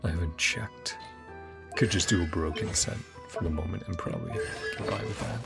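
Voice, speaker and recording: A man's voice: deep voice, normal speed, creaky, over loud music. A man doing casual gameplay commentary as a streamer, often muttering to himself as he thinks. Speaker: streamer playing a video game.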